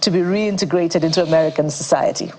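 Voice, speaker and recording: A woman's voice: low-pitched, fast talker, mellow, slight background noise. A woman hosting a live TV interview, asking probing questions in a conversational tone. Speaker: TV news host.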